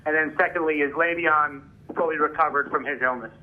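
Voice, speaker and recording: A man's voice: on the high side, fairly even intonation, a little fast. A man working as a reporter, putting questions to a coach at a press conference over the telephone. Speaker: newspaper reporter asking questions. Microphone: phone call. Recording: tinny telephone line.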